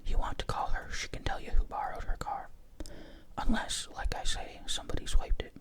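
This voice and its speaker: A reader whispering the part of a slow, solemn male police sergeant in an audiobook. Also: close to an everyday voice. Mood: grave, firm.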